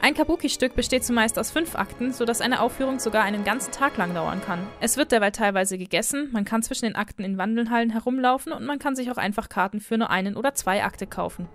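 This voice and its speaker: A woman's voice: fairly high pitch, quick pace, few pauses. A woman host narrating a scripted explainer video in a friendly way. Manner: friendly, apologetic about pronunciation. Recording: voice-over with faint background music.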